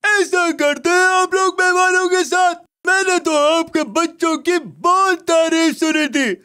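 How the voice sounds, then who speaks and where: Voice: very high-pitched, fairly even intonation, measured pace, pushed and bright, no room sound, clean studio sound, heavily acted. A performer voicing a polite but easily offended male panda doctor in a children's cartoon, with a theatrical, self-important delivery.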